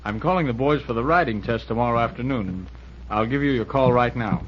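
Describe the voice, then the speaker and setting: An actor playing a kindly sergeant and riding coach, speaking hesitantly and informally in an old-time radio drama. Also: male voice, mid-range voice, a little fast, natural voice. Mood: easygoing, businesslike. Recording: muffled, noisy old radio recording.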